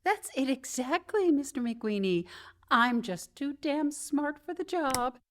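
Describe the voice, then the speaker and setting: A woman's voice: sits high, expressive, a little slow, a little hiss or hum. An actress playing a smart, wary young switchboard worker in a comedic radio drama, wry and mock-polite. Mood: brisk, scheming. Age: young adult.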